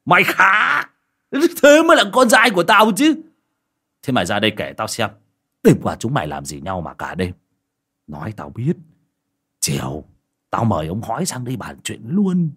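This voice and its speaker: Male narrator voicing a hot-tempered old rustic father, loud scolding, deep drawl. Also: slightly rough. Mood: gleeful.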